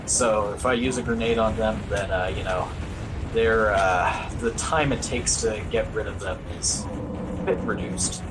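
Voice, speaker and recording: A man's voice: lowish voice, a little slow, over loud music, poor audio. A man giving casual, wry, self-deprecating live commentary as he plays through a video game as a speedrunner. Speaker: speedrunner commentating on own play.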